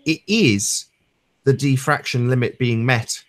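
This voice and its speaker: A man's voice: mid-range voice, a little slow, background noise. A man on a discussion panel, speaking emphatically in wordy, technical phrases during an informal debate.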